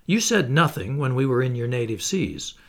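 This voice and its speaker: A man's voice: medium pitch, big pitch swings, moderate pace, fairly bright tone. A man voicing an impatient Canadian harpooner, furious at being held captive.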